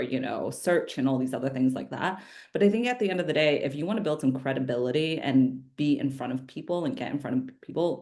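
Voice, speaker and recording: A woman's voice: a bass voice, dark tone. A woman whose first language is Vietnamese, a social media marketer talking loosely and casually on a panel. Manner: conversational, loose, with fillers and casual swearing.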